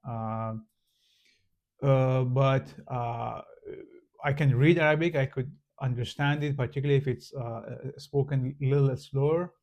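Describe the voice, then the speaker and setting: Guy w persian accent, farsi native, talks slow n hesitant, lots of uh and um, average pitch. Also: steady tone, a little mellow. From Iran. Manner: hesitant, many fillers and restarts. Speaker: channel host. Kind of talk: casual explanatory monologue.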